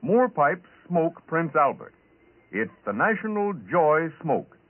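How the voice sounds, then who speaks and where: A man with an American accent delivering a scripted 1940s radio advertising pitch, on a tinny old recording. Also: very animated, slow. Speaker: commercial announcer.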